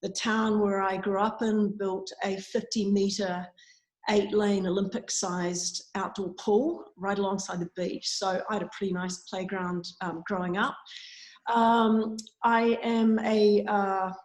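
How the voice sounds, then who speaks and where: A woman's voice: mid-range voice, little pitch movement, measured pace, darkish tone. A woman, a swim coach chatting through interview answers with plenty of ums and uhs over a video call. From New Zealand. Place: at a swimming facility. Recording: echoey room sound.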